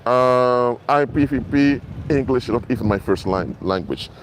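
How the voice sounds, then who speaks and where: Brief: male minister, Papiamento and Dutch native, earnest street live-stream preacher, mid pitch, faint traffic behind. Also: normal speed, low-quality recording. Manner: earnest, repetitive, addresses viewers directly. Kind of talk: outdoor live-stream sermon. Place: outdoors in an urban area. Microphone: mobile phone.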